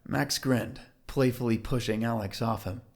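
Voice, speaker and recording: A man's voice: medium pitch, normal speed. A man narrating an audio drama from a script.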